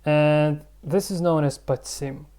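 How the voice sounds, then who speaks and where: A man's voice: a little high, steady tone, slow pace, warm tone, clear, resonant tone. A man hosting his own channel, rambling and thinking aloud in a casual explanatory vlog, with many fillers. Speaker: channel host.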